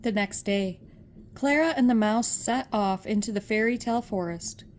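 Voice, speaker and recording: An older woman reading a children's story aloud as a storyteller, measured and expressive. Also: mid-range voice, a little hiss or hum.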